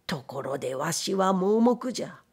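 A woman's voice: fairly low pitch, sing-song, moderate pace, darkish tone, breathy edge, full character voice. A woman reading the part of a proud, bitter, grieving old blind factory owner in a storybook, with weary old-fashioned speech. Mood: weary, despairing. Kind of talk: dramatic literary reading.

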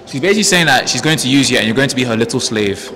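Fast-talking young man hosting a game show. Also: medium pitch, expressive, sharp tone. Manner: teasing, quick, repeats words for effect. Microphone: handheld mic. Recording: roomy studio echo with music.

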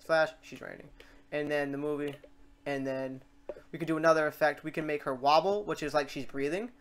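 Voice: mid-range voice, fairly even intonation, fairly quick, slight background noise. A tutorial host narrating a screen recording in a casual, rambling way, thinking aloud while working through the steps.

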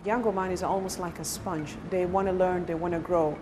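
A woman's voice: on the low side, fairly even intonation, a little fast. A woman making an interview statement for a promotional film. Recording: music bed under voice.

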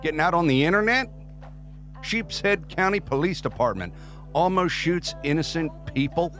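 An actor playing a blunt, protective country music star father, folksy and forceful, in an audio drama. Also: a little high, expressive, moderate pace. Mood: defiant, firm.

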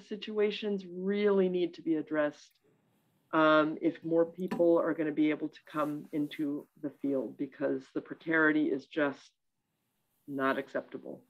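A woman archivist on a panel over a video call, telling her own story candidly and acting out what she said to people. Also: lowish voice. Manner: candid, animated storytelling with quoted asides. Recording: dull lo-fi video call audio.

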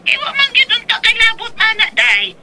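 A woman's voice: very high voice, huge pitch swings, moderate pace, background noise, heavily acted. A Filipino actress with a Cebuano accent playing an exasperated mother who nags and scolds her grown daughter in a radio drama. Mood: scolding. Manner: nagging, scolding.

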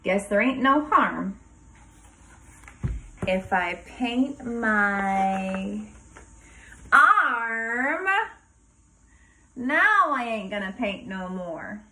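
A woman kindergarten teacher reading a rhyming picture book aloud to young children in a sing-song way, pausing dramatically before rhymes and asking questions. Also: medium pitch, lively intonation, bright, forceful tone, slight background noise, poor audio.

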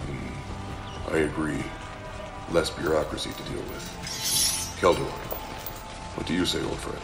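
Man as very deep gravelly warrior. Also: level tone, takes it slowly, roomy sound, music in the background, full character voice. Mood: dry, approving. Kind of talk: fantasy audio drama acting.

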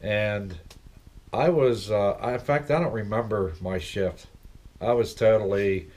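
A man's voice: fairly low pitch, unhurried, darkish tone. Chatty middle-aged man in a living room. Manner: chatty, rambling, asks many questions. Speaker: vlog host. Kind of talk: casual chat to viewers. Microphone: laptop webcam, seated at desk.

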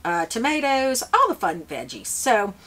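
A woman's voice: fairly high pitch, very animated, a little slow, a bit bright, a little hiss or hum. A woman, chatting casually to the camera while she tends seedling trays in a seed-starting shed, rambling with lots of fillers and restarts. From Louisiana, US. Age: 50s. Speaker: flower farmer. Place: indoor seed-starting shed with grow shelves.

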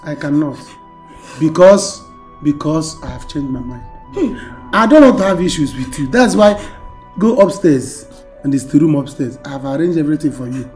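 A man's voice: on the high side, a little mellow, lightly acted, low-quality recording. An actor playing a man who firmly refuses a marriage, speaking curtly. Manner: firm, curt.

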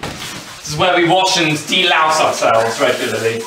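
A man's voice: a little high, expressive, moderate pace. A young man co-hosting a house tour, trading dry absurd jokes with many ums. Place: small rented house, indoors. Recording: echoey rooms with faint noise.

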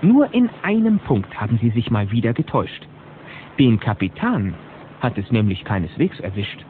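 A male narrator tells a children's adventure play with dramatic, animated intonation. His pitch is mid-range. The sound is narrow and hissy, like an old cassette. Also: normal speed. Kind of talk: scripted dramatic storytelling for children's audio drama.

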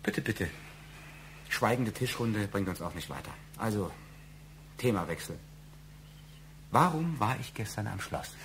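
An actor in a radio crime drama playing a needling, evasive middle-aged husband, formal and deliberate in his insinuations. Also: fairly low pitch, animated, fairly bright tone, slight background noise. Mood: bitter, sarcastic.

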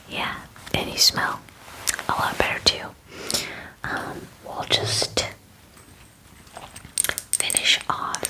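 A woman in her twenties whispering gently and soothingly in an ASMR personal attention roleplay, close to the microphone. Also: rough recording. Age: 20s. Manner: gentle, soothing, reassuring, unhurried. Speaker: ASMR roleplayer playing a spa groomer. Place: small bedroom, indoors. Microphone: close microphone, near the camera.

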